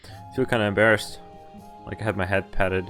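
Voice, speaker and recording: Low-voiced man reading a visual novel aloud. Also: fairly even intonation, brisk, a bit bright. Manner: stumbles over words while reading, breaks off to comment. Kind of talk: casual game playthrough, reading story text aloud with offhand comments. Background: game music and sound effects.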